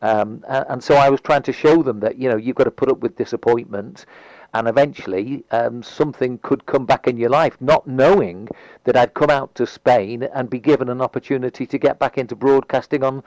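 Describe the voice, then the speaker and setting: A man's voice: medium pitch, steady tone, fairly quick, darkish tone, dry recording, rough recording. A man with a Liverpool accent interviewing a guest, hesitant and full of restarts. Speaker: radio interviewer. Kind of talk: casual interview chat.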